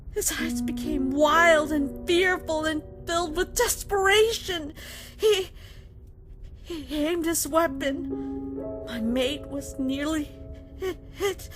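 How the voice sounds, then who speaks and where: A woman playing a distraught, loyal female dog-monster guard, emotional and halting, in a melodramatic comic dub. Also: very high-pitched. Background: background music.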